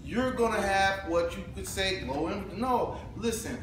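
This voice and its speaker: A man, co-hosting a casual chat about home buying as a realtor, talking in an animated way with playful banter and repeated phrases. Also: high-pitched, unhurried. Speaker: realtor co-host. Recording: echoey room from far off, noisy.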